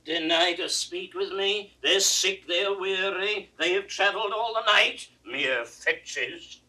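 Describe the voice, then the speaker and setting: Proud aging king, forceful bright voice, slow declamation, imperious, classical stage drama, distant 1960s recording. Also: high-pitched, full character voice. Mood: wrathful. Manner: declamatory, imperious, abrupt swings from muttering to roaring. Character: proud, aging king, wounded father, volatile and wrathful. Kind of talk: classical Shakespearean stage acting.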